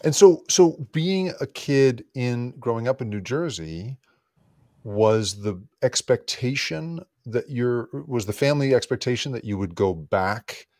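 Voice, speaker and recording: A man's voice: mid-range voice, lively intonation, darkish tone, no echo, clean studio sound. A male podcast host interviewing a guest, eager and interjecting, often cutting in. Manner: eager, interjecting, quick to apologize.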